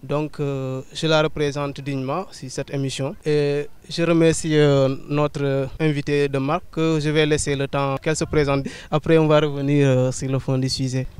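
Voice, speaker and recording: A man's voice: a little high, little pitch movement, moderate pace, few pauses, darkish tone, smooth. A man hosting an interview show, speaking formally and hesitating often with filler sounds. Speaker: host.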